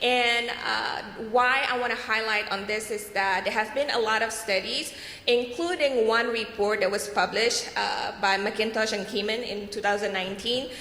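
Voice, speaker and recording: A woman from Malaysia giving a panel presentation, halting, with many fillers. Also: fairly high pitch, fairly even intonation, sharp tone, a little hiss or hum. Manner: halting, frequent fillers and "what we call it". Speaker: conference panelist. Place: conference hall. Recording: echoey hall.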